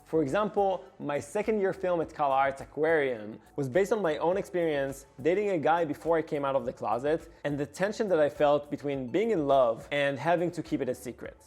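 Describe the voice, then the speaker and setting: Male animation director hosting his devlog: earnest, expressive read, somewhat high pitch, faint music underneath. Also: a little fast, hardly any pauses. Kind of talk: scripted devlog narration.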